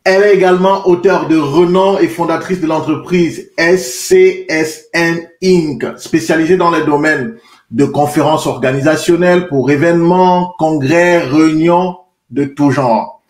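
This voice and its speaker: A man hosting a podcast interview, speaking with earnest, formal warmth and many repetitions as he introduces and questions his guest. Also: fairly high pitch, measured pace. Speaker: podcast host. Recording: echoey room.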